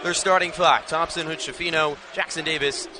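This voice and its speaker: A fairly high-voiced American man announces live basketball play-by-play. His delivery is emphatic and projected, set against a loud arena crowd. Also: fairly even intonation, moderate pace, background noise. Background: crowd and basketball bounce.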